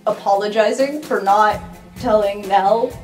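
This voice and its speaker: A woman's voice: medium pitch, a little slow, a little mellow, reverberant, soft background music. A woman player chatting casually during an improvised tabletop roleplay.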